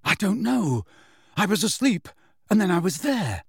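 A man's voice: high voice, very animated, measured pace, vocal fry, slightly rough, no room sound, clean studio sound, voice pushed up, full character voice. A man reading an audiobook, voicing a scared teenage boy who is desperate and furious, urgent and insistent. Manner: urgent, insistent, rising to shouts.

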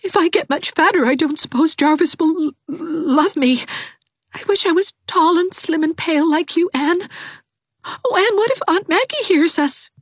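A woman's voice: expressive, normal speed, no room sound, studio quality. A woman voicing a timid, tearful young woman who whimpers and stammers. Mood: whimpering. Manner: whimpering, stammering, high and fretful.

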